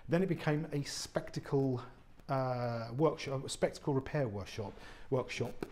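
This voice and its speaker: A man, an estate agent giving an unscripted walk-through tour of a warehouse flat, chatty and full of fillers and restarts. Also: medium pitch, moderate pace, slight background noise. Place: large warehouse flat, indoors. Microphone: handheld phone camera, speaker off screen.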